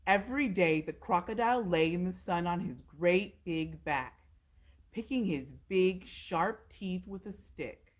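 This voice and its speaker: A woman's voice: fairly low pitch, lively intonation, a little slow. A woman reading a children's storybook aloud as a storytime narrator. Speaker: storytime reader.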